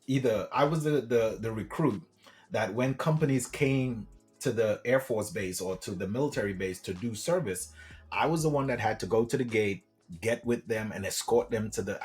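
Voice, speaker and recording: A middle-aged man from Trinidad and Tobago, a guest telling his life story in a friendly, animated way and often saying "man". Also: mid-range voice. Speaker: guest, government contracting coach. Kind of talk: casual interview storytelling.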